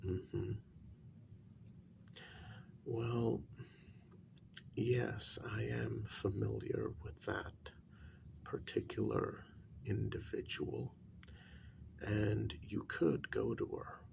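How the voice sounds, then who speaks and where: Very slow, gravelly soft-spoken man. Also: on the low side, level tone, a little hiss or hum. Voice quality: breathy half-whisper, gravelly. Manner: deliberate, measured, halting phrases. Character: calm, grave male psychologist and spiritualist counselling a parent. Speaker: ASMR role-play performer. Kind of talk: soft-spoken ASMR role-play, acted consultation.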